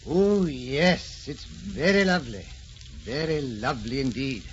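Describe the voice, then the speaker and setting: An actor playing a fond, indulgent ambassador husband with formal, genteel courtesy in an old radio drama. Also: male voice, mid-range voice, unhurried, faint music behind, natural voice. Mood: fond, musing. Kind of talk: 1940s radio drama acting. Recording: dull, noisy old radio recording.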